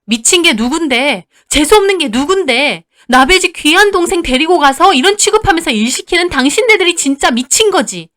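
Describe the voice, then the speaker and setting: A woman voicing a fiercely protective older sister in a dramatized story, shouting and confrontational. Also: very high-pitched, lively intonation, normal speed, few pauses, voice pushed up. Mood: outraged.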